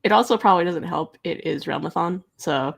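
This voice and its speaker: Low, creaky-voiced woman chatting frankly. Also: a little fast. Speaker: livestream book club panelist. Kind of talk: casual chat. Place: remote livestream call.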